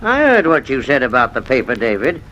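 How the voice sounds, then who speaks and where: An actor playing a petty, bullying old invalid uncle in a 1940s radio drama, querulous, sarcastic and self-pitying. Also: male voice, medium pitch, very animated, a little fast, dull audio, lightly acted. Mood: peevish. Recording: noisy vintage radio recording.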